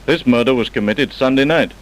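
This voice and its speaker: An actor playing a clever, unflappable police scientist in an old radio crime drama, explaining with measured, dry confidence. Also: male voice, medium pitch, close to an everyday voice, rough recording. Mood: confident explaining. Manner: measured, wry, self-assured explaining. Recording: vintage radio broadcast recording.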